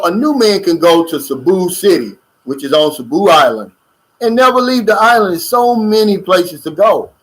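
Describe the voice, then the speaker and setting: Chatty middle-aged man, slightly high voice. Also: a bit bright, rough recording. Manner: loose, chatty, drawling with fillers and asides. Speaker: YouTube channel host. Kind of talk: casual livestream chat reading viewer comments. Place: lives in the Philippines.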